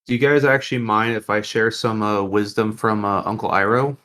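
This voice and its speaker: A man's voice: fairly low pitch, fairly even intonation, brisk, bright, forceful tone. A man talking casually as the host of a podcast, explaining things conversationally.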